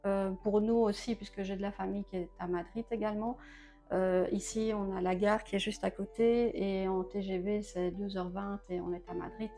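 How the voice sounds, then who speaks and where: A woman's voice: medium pitch, fairly even intonation, normal speed, warm tone. Belgian-raised woman, homeowner, hesitant casual talker, faint music bed. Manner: hesitant, many filler sounds. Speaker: homeowner giving a home tour. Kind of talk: casual interview.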